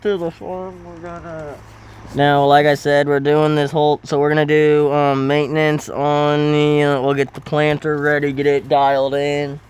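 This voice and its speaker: A man giving casual commentary over farming gameplay, halting and often correcting himself as he thinks aloud. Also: on the high side, level tone, clear, resonant tone. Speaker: gaming video host.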